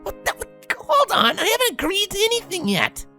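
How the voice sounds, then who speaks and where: A woman's voice: fairly high pitch, sing-song, slow pace, fairly bright tone, soft background music, pitched above the performer's own voice, heavily acted. A woman voicing a brash but sheepish young female pegasus athlete, hesitant and protesting, in a story.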